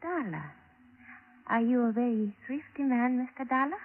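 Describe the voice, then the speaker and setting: A woman's voice: on the high side, normal speed, natural voice. A woman playing a polite, coy young office clerk with careful, formal phrasing in a 1940s radio drama. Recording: tinny vintage radio recording with noise.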